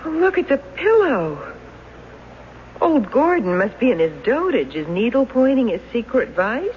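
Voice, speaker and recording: An actress in a radio drama playing a plain-spoken, protective and somewhat impatient wife. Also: female voice, fairly high pitch, sing-song, unhurried. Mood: amused, curious. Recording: dull hissy old radio recording.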